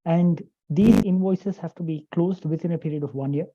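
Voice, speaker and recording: A guest on a business webinar over a video call, explaining compliance rules in a measured, careful way. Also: medium pitch. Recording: dull lo-fi call audio.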